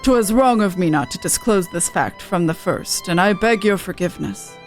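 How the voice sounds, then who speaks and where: A woman's voice: lowish voice, lively intonation, moderate pace, soft background music, lightly acted. A woman voicing a dignified older nobleman in a video game, earnest and formal with archaic phrasing. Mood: solemn, confessional. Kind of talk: amateur game dialogue read-aloud.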